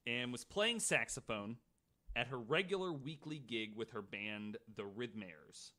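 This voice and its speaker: A man's voice: medium pitch, lively intonation, a little slow, bright, forceful tone. A man hosting a podcast, reading prepared true-crime notes and dropping in casual asides. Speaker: podcast host.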